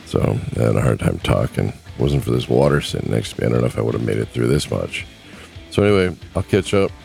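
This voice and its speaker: Hoarse, very deep-voiced man. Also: a little mellow. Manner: chatty, repeats numbers slowly. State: losing his voice, sore throat. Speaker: podcast host. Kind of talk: casual news talk.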